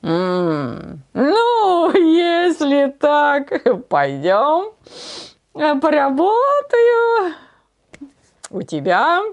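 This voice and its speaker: A woman's voice: sits high, wildly swooping pitch, extremely slow, voice pushed up, full character voice. A woman voicing a lazy young village man in a folk tale, with a sluggish, drawling delivery. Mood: hesitant agreement.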